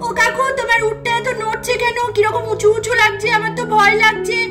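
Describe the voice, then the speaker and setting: Woman doing a timid, very high-voiced little girl. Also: little pitch movement, brisk, loud music behind the voice. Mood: frightened, pleading. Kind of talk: amateur doll-story voice acting.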